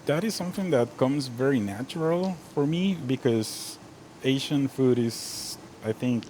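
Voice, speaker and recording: A man's voice: medium pitch, lively intonation, a little slow, dark tone, slight background noise, low-quality recording. A man from Guatemala, a restaurant chef, chatting casually about his cooking with many "you know" fillers. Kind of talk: casual interview chat.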